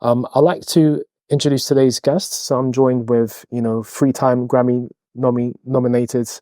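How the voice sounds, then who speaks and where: A man's voice: medium pitch, steady tone, moderate pace, warm tone, dry recording, clean studio sound. Eager, effusive podcast host man. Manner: effusive, eager, repeats thanks, stumbles on words. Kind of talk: podcast interview hosting. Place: podcast studio.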